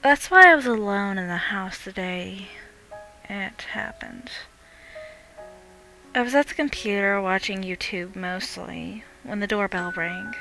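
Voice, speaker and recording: A woman's voice: medium pitch, low-quality recording. A young adult woman reading a first-person horror story as dramatic scripted narration. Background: music.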